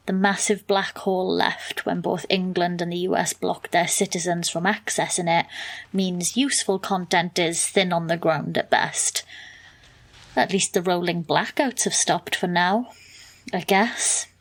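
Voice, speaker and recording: Dry, wry young woman, played by an actress. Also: on the low side. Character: exasperated, wry young woman narrating as best friend. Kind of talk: audio drama narration and dialogue.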